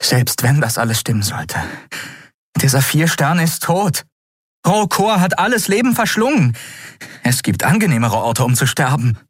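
A man voicing a distraught, sceptical woman leader in a fantasy audiobook, urgent, forceful and emotional. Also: medium pitch, brisk, few pauses, slightly rough, dry recording, studio quality, voice pushed up. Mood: disbelieving.

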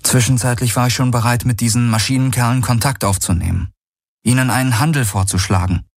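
A man's voice: medium pitch, brisk, no echo, clean studio sound, voice pushed up, lightly acted. A man voicing an earnest, resilient and worried woman space traveller in a dramatised audiobook, speaking emphatically. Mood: desperate, confessing.

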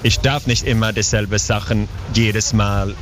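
A Swedish man talks in careful, broken German, pausing often to hunt for the right word. He sounds playful and easygoing. Loud music plays behind him outdoors. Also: fairly low pitch, fairly even intonation. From Sweden. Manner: hesitant, searching for words, playful. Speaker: band frontman guest. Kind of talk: casual festival interview.

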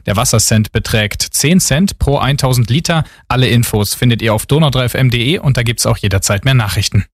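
A man reading a radio news bulletin in a measured, formal way. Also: medium pitch. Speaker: news anchor. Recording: dry studio sound.

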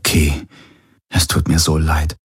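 A man's voice: very deep voice, completely flat delivery, takes it slowly, husky, dry recording, clean studio sound, heavily acted. A man voicing an anguished, devoted man in an audiobook, his voice trembling as he pleads. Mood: remorseful.

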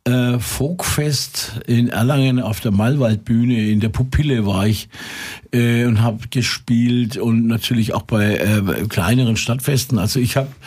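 Older Franconian male musician, husky, slow and halting, interview guest. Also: medium pitch, fairly even intonation, darkish tone. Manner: halting, many "äh" fillers. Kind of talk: radio interview chat.

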